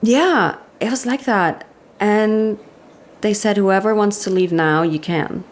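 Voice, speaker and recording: A woman in her thirties with an Austrian accent, speaking candidly as a guest in a casual interview and laughing easily. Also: mid-range voice. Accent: Austrian German. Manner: candid, laughs often, quick self-deprecating asides. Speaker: guest, commercial director.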